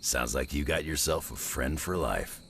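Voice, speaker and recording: An actor playing a gruff, wry, rugged monster tracker in a video game, with a gravelly, raspy voice and a folksy, blunt way of talking. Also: male voice, very deep voice, moderate pace, fairly bright tone, slight background noise. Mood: easygoing. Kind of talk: video game character acting.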